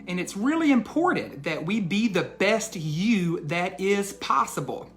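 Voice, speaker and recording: A man's voice: high-pitched, very animated. Male educator reading aloud for children. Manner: deliberate emphatic phrasing, addressing children directly. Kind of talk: children's bedtime read-aloud and talk to young listeners.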